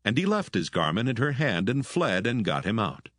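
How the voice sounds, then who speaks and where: A man narrating dramatised scripture in a solemn, measured manner. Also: fairly low pitch, sing-song, a bit bright, dry recording, studio quality.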